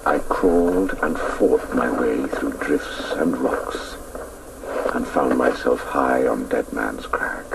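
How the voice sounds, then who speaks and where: An actor playing a grown man wistfully looking back on his boyhood grief, narrating a radio play in a slow, gravelly voice. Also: exaggerated voice. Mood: wistful, sorrowful. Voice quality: very deep, gravelly. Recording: noisy radio play tape, distant voice.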